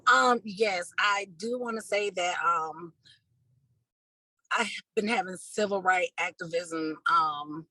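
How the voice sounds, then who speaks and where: A woman with a husky voice answering interview questions as an activist and organizer, earnest and halting, often repeating words. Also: on the low side, sharp tone, dry recording, poor audio.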